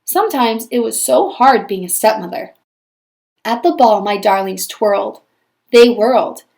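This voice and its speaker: A woman's voice: fairly high pitch, animated, a little slow, creaky, a little mellow. A woman reading as an annoyed, self-pitying, snobbish storybook stepmother, with a theatrical, put-upon manner.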